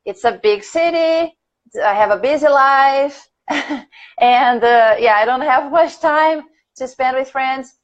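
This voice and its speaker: A Brazilian woman whose first language is Portuguese leads a relaxed English conversation lesson, chatting and laughing as she thinks aloud. Her voice sits on the high side. The sound is dull and lo-fi, like a stream over the internet. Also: takes it slowly. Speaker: English teacher. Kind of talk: casual conversation class on a livestream.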